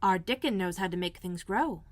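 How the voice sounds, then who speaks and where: A woman's voice: fairly low pitch, animated, moderate pace, dry recording. A woman voicing a friendly, helpful young housemaid with old-fashioned rustic phrasing in a storybook.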